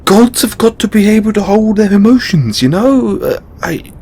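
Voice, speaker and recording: A man playing a stressed, tender god confiding in a lover in an intimate audio roleplay, speaking haltingly with stammers and sighs. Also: expressive, mellow, husky, exaggerated voice. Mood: embarrassed, defensive. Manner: hesitant, halting, stammering and sighing. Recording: background noise with low rumble.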